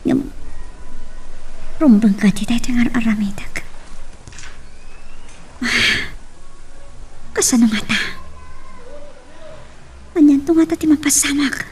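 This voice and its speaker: A woman acting a caring middle-aged mother who works abroad, speaking with an Ilocano accent in a drawn-out, affectionate way in an audio drama. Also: on the high side, expressive, breathy edge, exaggerated voice. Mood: worried, musing. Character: caring mother working abroad as a maid, phoning home. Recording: distant mic with faint noise.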